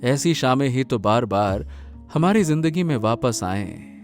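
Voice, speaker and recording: A man playing a devoted, admiring young son in a Hindi cartoon village story, warm and encouraging. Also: medium pitch, expressive, measured pace, faint music behind. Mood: fond.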